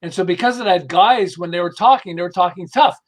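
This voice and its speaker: A man talking conversationally as a podcast guest, explaining through anecdotes in an animated, stop-and-start way. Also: high-pitched, fairly quick. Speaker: podcast guest, behavioral management specialist.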